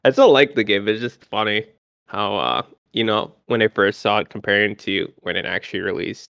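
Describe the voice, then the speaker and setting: A man's voice: on the low side, fairly quick, vocal fry, fairly bright tone, dry recording, dull audio. Rambling guy, dry close sound. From Canada. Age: 20s. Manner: rambling, chatty, lots of fillers. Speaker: podcast guest. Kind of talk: casual gaming podcast chat.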